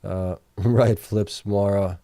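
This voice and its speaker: A man with a lowish, mellow voice hosts a late-night livestream and works through figures out loud, stopping often to correct himself. His voice is starting to wear out. The sound is dry and close, with no room echo. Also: fairly even intonation, takes it slowly. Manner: thinks aloud, many fillers and self-corrections; reads out viewer chat questions. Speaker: livestream host. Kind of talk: casual livestream chat reading financial results.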